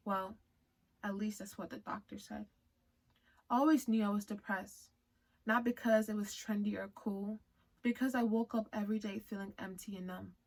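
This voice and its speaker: A woman with a Liberian accent, whose first language is not English, chats with her viewers and reads her own story aloud. She is giggly and full of playful slang, and her pitch sits in an ordinary middle range. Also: creaky. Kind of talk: casual chat and reading aloud.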